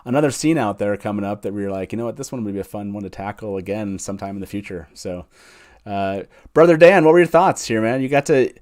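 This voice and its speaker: A man's voice: fairly low pitch, lively intonation, fast talker, darkish tone. A man hosting a casual group chat, talking in a rambling way with repeated phrases and frequent chuckles. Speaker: host. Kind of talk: casual chat, going round the guests.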